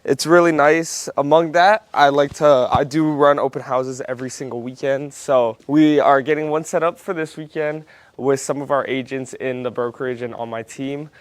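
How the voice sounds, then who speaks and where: A real estate marketer chatting in an upbeat way while filming inside a house for sale. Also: male voice, medium pitch, fairly even intonation, moderate pace, no room sound. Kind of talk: casual on-location vlog. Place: house being shown, indoors.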